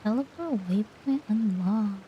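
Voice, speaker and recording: A woman's voice: mid-range voice, lively intonation, unhurried, lo-fi sound, slight background noise. A woman streamer giving casual gameplay commentary, murmuring to herself as she plays. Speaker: game streamer.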